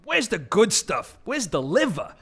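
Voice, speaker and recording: A greedy, crude male vulture from a comic radio play. His voice sits high and swoops widely. He grumbles and exclaims, lingering over his words. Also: sing-song, a little slow, gravelly, bright, forceful tone, slightly rough, heavily acted. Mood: greedy, grumbling. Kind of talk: comic audio play acting.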